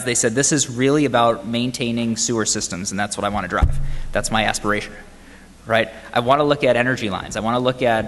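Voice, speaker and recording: Man, faded Boston accent, conference talk, mid pitch, chatty with audience, reverberant hall. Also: a bit bright. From Boston area, US. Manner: conversational, asks the audience questions, says "right?" often. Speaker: conference speaker. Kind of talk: conference presentation.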